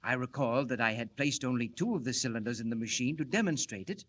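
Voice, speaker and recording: Proud, formal scientist inventor. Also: male voice, mid-range voice, expressive, a bit bright. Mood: assured, explanatory. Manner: formal, measured, explanatory. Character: earnest, proud, secretive male scientist inventor. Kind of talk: 1940s radio acting.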